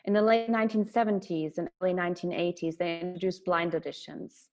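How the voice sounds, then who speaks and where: A woman's voice: on the low side, steady tone, fairly quick, darkish tone. A woman from Germany giving an earnest, explanatory talk on bias and inclusion. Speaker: speaker on diversity and inclusion.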